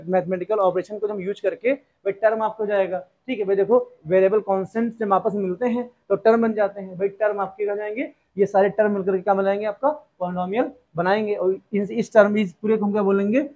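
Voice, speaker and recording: Young male maths teacher, high voice, keeps asking and answering his own questions. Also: fairly even intonation, brisk, hardly any pauses, warm tone, low-quality recording. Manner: repetitive rhetorical questions, addresses students as "beta". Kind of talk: classroom lesson explanation.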